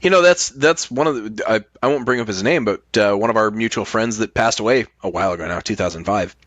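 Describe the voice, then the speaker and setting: A man's voice: lowish voice, fast talker, fairly bright tone, dry recording. A man chatting casually as a podcast guest, reflective, posing rhetorical questions and swearing freely. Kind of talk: casual chat.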